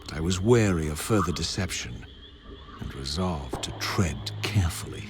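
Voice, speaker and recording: An actor playing a brooding undead wraith avenger, narrating a game's story in a grave, eloquent, solemn and measured theatrical manner. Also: male voice, extremely low pitch, animated, very noisy. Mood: wary, suspicious. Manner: solemn, formal, measured dramatic delivery. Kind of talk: theatrical scripted game narration.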